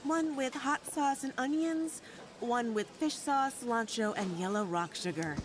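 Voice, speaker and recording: A woman acting a breezy, teasing, loyal fighter in a sci-fi audio drama, speaking in a casual, slangy, expressive way. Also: sits high, unhurried, noisy background. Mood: friendly. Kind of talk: naturalistic audio drama dialogue.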